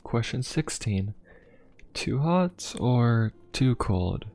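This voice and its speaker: A man playing a courteous, inquisitive young pope of a steampunk fantasy world in an ASMR roleplay, speaking politely, formally and in a gently musing way. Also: mid-range voice, animated, very slow. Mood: musing, friendly. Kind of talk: ASMR roleplay addressed to the listener. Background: music.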